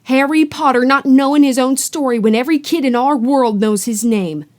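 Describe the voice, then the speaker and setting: A woman voicing a big, gruff half-giant man who blusters loudly and then turns worried. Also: mid-range voice, moderate pace, close to an everyday voice. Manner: blustering, loud outbursts.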